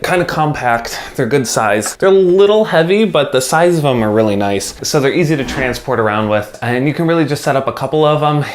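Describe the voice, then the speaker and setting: A man talking casually to camera as a video host, explaining things in an informal, conversational way. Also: mid-range voice. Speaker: video host, music video filmmaker. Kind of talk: casual instructional vlog talk to camera.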